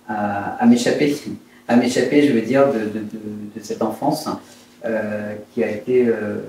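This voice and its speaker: Echoey, mellow man in his fifties. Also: medium pitch. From Brittany, France. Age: 50s. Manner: hesitant, halting, reflective. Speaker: interviewed entrepreneur. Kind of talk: personal life-story interview. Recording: very echoey room, distant mic, faint noise.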